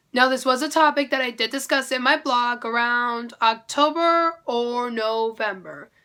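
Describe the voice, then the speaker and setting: A young woman talks straight to her viewers in a chatty, upbeat way. Her voice is high and bright, with a nasal, congested sound from a head cold. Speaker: vlogger. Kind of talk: casual talk to camera.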